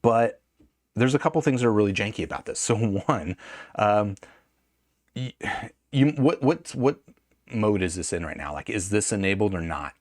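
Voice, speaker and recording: Male reviewer, lower register, conversational and rambling, thinking aloud, close dry sound. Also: expressive, a little slow, a little mellow, dry recording. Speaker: gadget reviewer. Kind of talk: casual hands-on product review.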